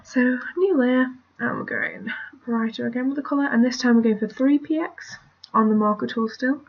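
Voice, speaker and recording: Chatty British woman, husky and lo-fi. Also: fairly high pitch, moderate pace. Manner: chatty, rambling, drops to mutters and whispers. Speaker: art tutorial creator. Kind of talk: casual screen-recorded drawing tutorial. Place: at her family home, indoors. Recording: dull lo-fi home recording.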